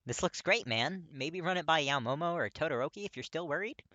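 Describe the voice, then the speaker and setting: A narrator voicing a supportive young man with a relaxed, casual, encouraging way of talking. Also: medium pitch, a little fast, dry recording, muffled recording, pitched above the performer's own voice.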